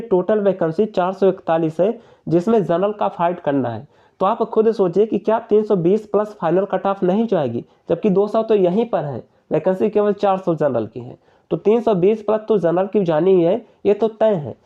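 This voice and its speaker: A man's voice: high voice, normal speed, lo-fi sound. A young man, explaining informally to viewers in an earnest, repetitive, persuasive way. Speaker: exam-prep YouTuber.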